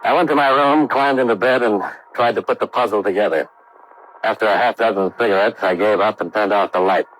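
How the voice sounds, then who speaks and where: An American actor playing a brisk, hard-boiled investigator who narrates his case in clipped, matter-of-fact 1950s radio style. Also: lowish voice, fairly bright tone, a little hiss or hum, close to an everyday voice, poor audio. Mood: brisk, reflective. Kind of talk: 1950s radio detective acting. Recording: vintage radio drama recording.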